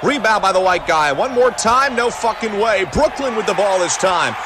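A man's voice: high voice, animated, normal speed. A man doing mock basketball play-by-play as a parody sports commentator, with a profane, bombastic announcer delivery. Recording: noisy, game crowd and court sounds. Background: basketball game ambience with bouncing ball.

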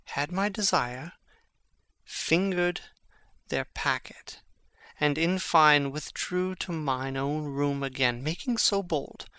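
An actor playing an intense, brooding young prince in a classical Shakespearean dramatic reading, with theatrical, emphatic phrasing. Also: male voice, on the high side, slow, bright, forceful tone, clear, resonant tone, no room sound. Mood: urgent, conspiratorial.

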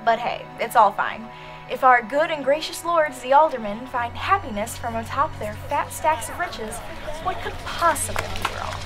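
High-voiced, wry woman as teenage girl thief. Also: animated, normal speed. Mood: wry, sardonic. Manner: wry, sarcastic. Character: sardonic 13-year-old girl pickpocket stowaway. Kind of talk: radio drama narration and acting. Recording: music behind the voice.

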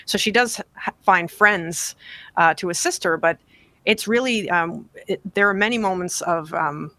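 A bright, clear-voiced woman in her forties talks as a guest author, thoughtful and measured, pausing with fillers as she builds her points. She is recorded dry and close on a desk mic in a home study. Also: fairly low pitch, no room sound. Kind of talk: interview on radio show. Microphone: desk podcast mic on boom, video call.

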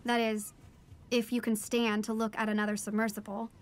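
A woman's voice: fairly high pitch, level tone, normal speed, lightly acted. A young woman playing an earnest teenage daughter, narrating a first-person audio drama in a reflective way. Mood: warm, hopeful. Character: teenage daughter of a marine biologist, earnest storyteller. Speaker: narrator and lead character.

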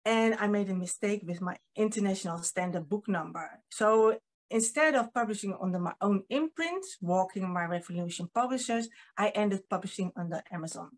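A woman's voice: medium pitch, moderate pace. A Dutch woman in her fifties with a Dutch accent, giving an earnest talk as a publisher, with frequent ums, recorded on a webcam at home. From Netherlands. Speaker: guest speaker, publisher. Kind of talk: online presentation. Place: home room with painting. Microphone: video call webcam.

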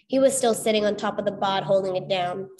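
A young adult woman reading a children's story aloud as expressive scripted narration. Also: mid-range voice, fairly quick, low-quality recording.

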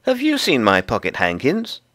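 A man's voice: mid-range voice, wildly swooping pitch, normal speed, a bit bright, pitched above the performer's own voice. A man voicing a curious, eager little girl in a children's storybook, wide-eyed and full of questions. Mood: timid, curious. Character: curious, frightened-then-delighted little girl. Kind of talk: storybook character voice.

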